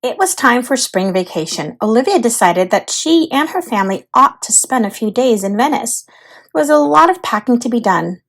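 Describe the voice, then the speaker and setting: Casting: female read-aloud storyteller, high register, lively melodic swings, deliberate delivery for children's books.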